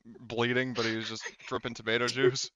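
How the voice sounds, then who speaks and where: A man narrates casually as a game master. His voice is smooth and medium in pitch, recorded dry with a slightly muffled top end. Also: little pitch movement, brisk, fairly bright tone, dry recording.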